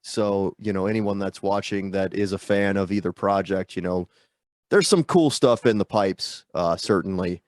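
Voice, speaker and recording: A man with a fairly low voice talks loosely over a video call, his nose stuffed up from a sinus infection. He rambles and pokes fun at himself, with plenty of fillers. The sound is dry and close. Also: normal speed, darkish tone, dry recording. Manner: rambling, self-deprecating, lots of fillers. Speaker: guest musician. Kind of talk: casual interview chat.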